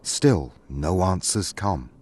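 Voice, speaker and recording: A man's voice: deep voice, animated, slow, darkish tone, a little hiss or hum. A man narrating a scripted fantasy story as its storyteller.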